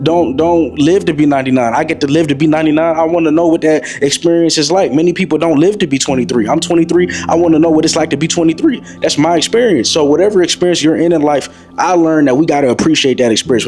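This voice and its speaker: A man's voice: fairly high pitch, a little mellow. Young man preaching self-belief over music. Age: 20s. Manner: preachy, repetitive, addresses listener as bro. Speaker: motivational vlogger. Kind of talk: motivational monologue to camera.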